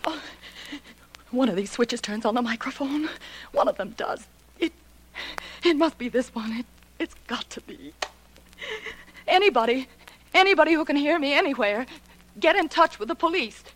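A woman's voice: high voice, animated, moderate pace, long pauses. An actress in a 1940s radio melodrama playing a proud woman under threat. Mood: nervous fear. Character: threatened woman, haughty then desperately frightened. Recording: noisy old 1940s radio recording.

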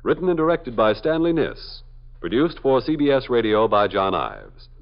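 A man's voice: mid-range voice, sing-song, normal speed, gravelly. A male radio announcer reading the closing credits in a formal manner. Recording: lo-fi 1950s radio recording.

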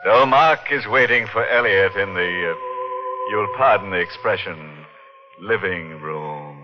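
A man playing a ghoulish, mocking horror host in tongue-in-cheek old-time radio style, droll and drawn-out, on a muffled recording. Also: fairly low pitch. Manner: droll, punning, drawn-out delivery.

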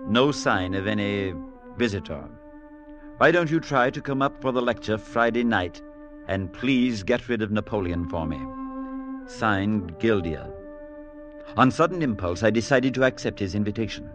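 A man's fairly low voice acting as a resolute, concerned priest and loyal friend. He is earnest and deliberate in the style of late 1940s radio drama, with music clearly heard in the vintage broadcast. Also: close to an everyday voice. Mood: grave, measured. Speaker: actor narrating a radio drama.

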